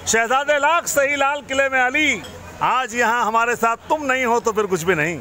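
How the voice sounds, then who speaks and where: A man's voice: extremely high pitch, normal speed, a loud crowd. A middle-aged man recites poetic couplets to guests in a florid, courtly, declamatory way as a restaurant entertainer. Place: busy outdoor restaurant courtyard at night. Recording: noisy open-air restaurant, phone recording.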